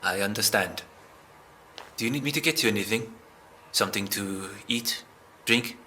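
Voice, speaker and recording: A man plays a calm police officer in an audio drama. His voice sits fairly low with a strongly bright edge. He questions in a measured, courteous manner. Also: a little hiss or hum. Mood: sympathetic. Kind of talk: amateur audio drama acting.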